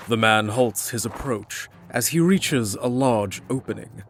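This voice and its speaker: A man's voice: medium pitch, measured pace, slight background noise. A man giving dramatic, scripted fantasy narration as the storyteller.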